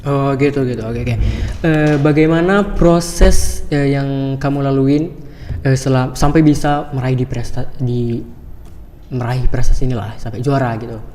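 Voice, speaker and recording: A man's voice: mid-range voice, fairly even intonation, measured pace, darkish tone, slight background noise. A teenage boy hosting a school podcast, upbeat and casual with many fillers. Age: teens. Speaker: podcast host. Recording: reverberant room.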